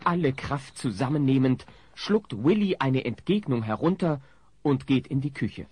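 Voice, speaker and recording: A man's voice: mid-range voice, expressive, normal speed, a little mellow, husky, dry recording. A man narrating a comedy audio drama in a scripted, wry storytelling manner.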